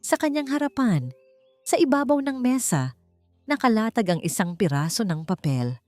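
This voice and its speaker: A woman narrating a dramatic love story audiobook in a measured storytelling manner. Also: on the high side, very animated, no echo. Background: soft background music.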